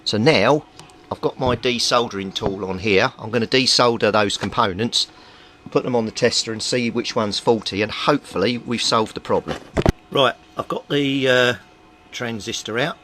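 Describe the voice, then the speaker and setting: A man's voice: medium pitch, moderate pace. A man giving an informal, methodical workbench explanation as a hobbyist repairer. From Britain. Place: small indoor home workbench. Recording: clearly noisy home recording.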